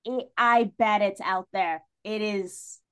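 Female podcast guest, conversational and emphatic, full of opinions, mid-range pitch. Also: fairly even intonation, slow, clean tone. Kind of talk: casual podcast discussion.

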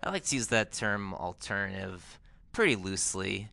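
American male podcast host, low creaky voice, casual interviewer delivery, close dry sound. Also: animated, moderate pace, bright, forceful tone, no room sound. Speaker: podcast host, music journalist.

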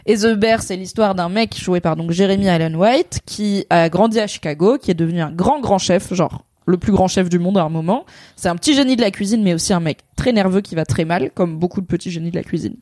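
A woman's voice: lowish voice, fairly quick, a little mellow, no echo. A woman hosting a casual pop-culture chat, talking at length and explaining eagerly with lots of fillers. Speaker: podcast host.

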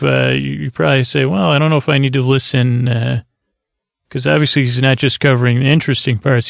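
Middle-aged male sleep-podcast host: heavy vocal fry, digressive and halting delivery, dry recording. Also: medium pitch, no echo. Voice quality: gravelly, creaky. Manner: halting, digressive, trails off and restarts mid-sentence. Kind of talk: rambling, meandering bedtime monologue.

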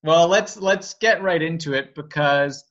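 A man's voice: fairly high pitch, unhurried, a bit bright. A man co-hosting a podcast in a casual, conversational style, on slightly lo-fi audio. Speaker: podcast co-host.

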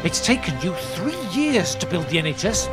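A man's voice: sits high, very animated, moderate pace. An actor playing a male political colleague who reproaches a minister, in a dramatized historical scene. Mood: reproachful. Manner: reproachful, lecturing. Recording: loud music underneath.

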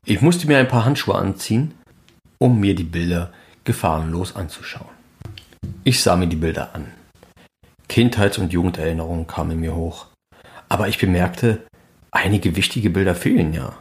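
Low-voiced man narrating a podcast. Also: moderate pace. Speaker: fan podcast host and narrator. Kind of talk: scripted documentary narration.

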